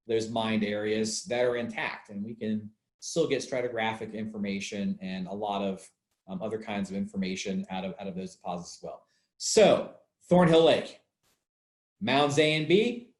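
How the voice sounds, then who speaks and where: A man talks as an archaeologist in an informal, explanatory style. His pitch sits in the middle for a male voice, and he repeats points for emphasis. The sound is roomy, with clear echo around the voice. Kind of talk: informal explanatory talk about fieldwork.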